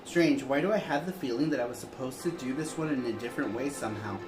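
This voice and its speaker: A man's voice: mid-range voice, fast talker, roomy sound, over music, poor audio. A man co-hosting a show, chatting casually, laughing often and reading long viewer letters aloud. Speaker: co-host reading viewer answers.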